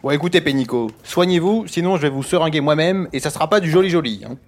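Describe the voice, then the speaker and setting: An actor playing a bossy, blustering government minister in a satirical audio drama, talking in pompous slang. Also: male voice, fairly high pitch, expressive, quick pace, a little hiss or hum. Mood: exasperated, threatening. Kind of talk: French satirical audio fiction acting.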